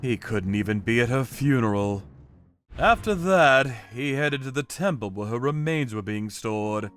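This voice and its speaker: A man giving dramatic first-person narration as a calm, righteous, menacing vigilante in an animated manga story. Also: medium pitch, measured pace, smooth. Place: studio voice-over with music behind.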